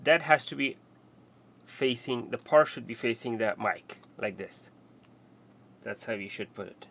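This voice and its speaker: Male tutorial presenter, mid-pitched and bright, explaining hands-on steps off the cuff, with repeats and rephrasing. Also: a little hiss or hum. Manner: halting, repeats and rephrases instructions.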